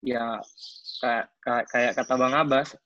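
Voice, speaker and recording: Young guy in his 20s talking about himself, lots of ums and restarts, pretty monotone, muffled dry audio. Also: medium pitch, slow, no echo. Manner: hesitant, with frequent fillers and restarts. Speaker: athlete speaking at a coaching clinic. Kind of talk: casual personal account.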